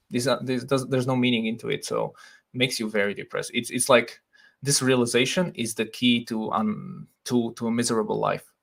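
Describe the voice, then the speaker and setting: Gravelly Slovak man chatting on a livestream. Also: mid-range voice. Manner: relaxed, laughs often, thinks aloud. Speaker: livestream host. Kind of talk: casual livestream chat reading comments.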